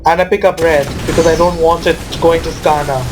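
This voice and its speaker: Male gamer, slightly high voice, casual match commentary, some background noise. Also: fairly even intonation, fairly quick.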